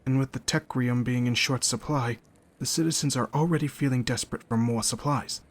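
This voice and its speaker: An actor playing an earnest young scholarly knight in a fantasy audio drama, reasoning in a measured, placating way. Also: male voice, medium pitch, fairly even intonation, close to an everyday voice. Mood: calm reasoning.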